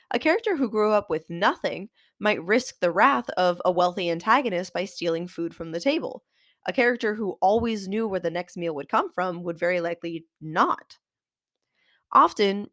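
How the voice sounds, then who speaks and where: A woman podcast host and author, sounding nasal and congested from a cold, giving a measured, scripted lesson on fiction writing. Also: medium pitch, no room sound, clean studio sound.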